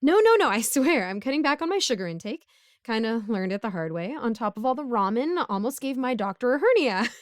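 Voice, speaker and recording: Woman acting a high-voiced, bumbling supervillain neighbor. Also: very animated, brisk, no room sound, clean studio sound. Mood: sheepish rambling. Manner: rambling, awkward, pleading and self-justifying. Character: broke, bumbling, chatty neighborhood supervillain asking favors. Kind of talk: casual conversational audio roleplay monologue.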